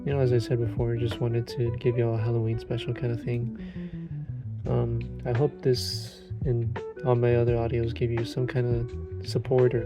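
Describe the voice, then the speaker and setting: A man who makes audio roleplays, chatting casually to his listeners in an earnest, rambling way. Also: mid-range voice. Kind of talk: casual intro chat to listeners.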